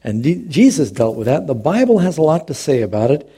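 A man's voice: on the high side, sing-song, brisk, dark tone, breathy edge. A middle-aged man, a pastor, preaching a sermon in a conversational, storytelling way with touches of humor.

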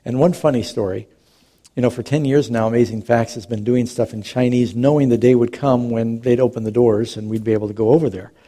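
A middle-aged man delivers a sermon as a pastor. His voice sits at an ordinary pitch but sounds mellow and warm. He talks in a relaxed, conversational way, mixing stories and humor into the preaching. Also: a little fast.

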